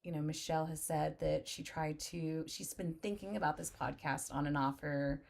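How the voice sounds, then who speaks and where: A woman's voice: low voice, fairly even intonation, normal speed, gravelly, darkish tone. Laughing brash woman podcast co-host. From Texas, born in Oklahoma. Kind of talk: casual chat.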